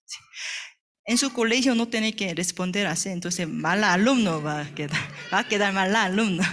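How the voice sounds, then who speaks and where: A woman from South Korea with a Korean accent and Korean as her first language, teaching the Bible to a congregation in halting, simple phrases with short check questions. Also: mid-range voice, fairly bright tone, soft background music.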